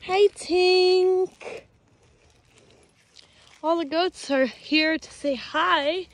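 A woman's voice: very high-pitched, extremely slow. A woman giving a casual outdoor tour on a handheld phone, talking affectionately as if to animals. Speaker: ranch owner giving a tour. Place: outdoors in an open dry pasture. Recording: noisy outdoor phone recording.

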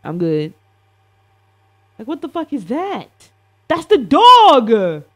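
High-voiced young man, slangy streamer banter. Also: wildly swooping pitch, slow. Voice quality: slangy, informal speech. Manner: playful, bantering with chat. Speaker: livestreamer. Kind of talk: casual reaction stream commentary. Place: indoor room at a streaming setup. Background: faint background music.

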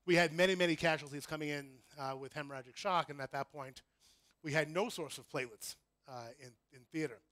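A middle-aged man with an American accent giving a conference lecture in an informal, halting way, often correcting himself. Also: fairly high pitch, a bit bright, no room sound. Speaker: conference speaker, critical care physician. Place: conference room before an audience.

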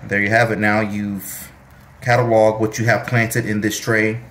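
A middle-aged man hosting a gardening channel, giving a relaxed, chatty how-to demonstration with jokey asides in a home kitchen. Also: fairly low pitch, unhurried.

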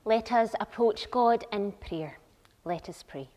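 Slow-paced woman leading worship in echoing church. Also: medium pitch, dark tone. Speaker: church service leader. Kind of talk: leading worship, welcome and prayer. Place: large church interior, at the lectern. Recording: long church reverb.

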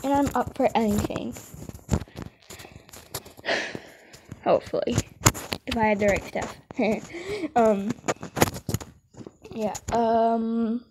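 A woman's voice: fairly high pitch, fairly even intonation, noisy background. A young woman rambling casually and hesitantly as an amateur video creator, often correcting herself. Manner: hesitant, halting, self-correcting. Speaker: amateur comic dub creator.

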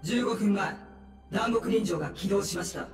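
A man's voice: high-pitched, moderate pace, creaky. An actor voicing a dutiful male guard captain who reports formally. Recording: echoey, distant game audio with music.

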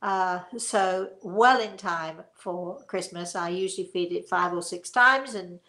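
Woman in her 60s chatting away, rambly and gushing, lots of creak in the voice. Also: fairly low pitch, measured pace, pushed and bright. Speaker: host. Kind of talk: casual chat. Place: living room, indoors.

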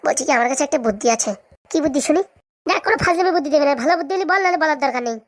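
A woman's voice: high-pitched, lively intonation, racing. A woman voicing a greedy, scheming village woman in a cartoon comedy, chatty and bickering in colloquial speech.

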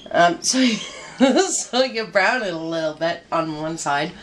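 A woman's voice: lowish voice, expressive, takes it slowly, a little hiss or hum. A middle-aged woman walking through a recipe in her home kitchen, chatty and joking, with plenty of ums. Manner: chatty and joking, rambling with fillers. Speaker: home cook demonstrating a recipe. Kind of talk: casual cooking demo. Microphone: handheld camera mic nearby. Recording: home video with kitchen clatter. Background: pans and cutlery clatter.

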